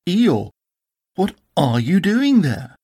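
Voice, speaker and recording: Narrator doing a bossy know-it-all rabbit guy, brisk, matter of fact, slightly higher voice, storybook. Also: very animated, dark tone, husky, no room sound, studio quality, full character voice. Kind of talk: storybook character voice.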